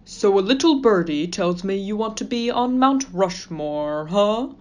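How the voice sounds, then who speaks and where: An actor plays a stately old president speaking from a mountain carving. He drawls slowly and talks down with folksy ease. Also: medium pitch, animated, a little mellow, lightly acted. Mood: condescending. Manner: slow, folksy, condescending. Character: stately founding-father president statue.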